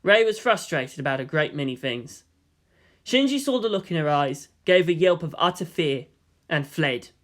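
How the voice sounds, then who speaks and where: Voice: medium pitch, lively intonation, normal speed. A narrator giving a scripted, dramatic reading of anime fan fiction. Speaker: audiobook narrator.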